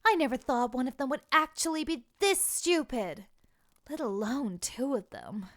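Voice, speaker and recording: An actress playing a haughty, fanatical cult cleric in a fantasy audio drama, theatrical in delivery. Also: female voice, high-pitched, lively intonation, moderate pace, no room sound, clean studio sound.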